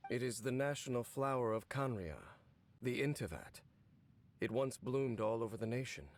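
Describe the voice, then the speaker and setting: A man voicing a brooding, knowing wanderer in a video game, speaking in a measured, grave and cool way. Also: medium pitch, animated, a little hiss or hum, close to an everyday voice. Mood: solemn, wistful. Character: brooding, knowing male wanderer from a fallen nation. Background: game music.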